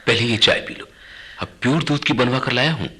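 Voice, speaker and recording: An actor playing a worried, dutiful grown son and husband in a radio drama, speaking earnestly and deliberately. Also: male voice, mid-range voice, bright, forceful tone, husky. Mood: caring, reassuring. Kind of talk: Hindi radio drama acting.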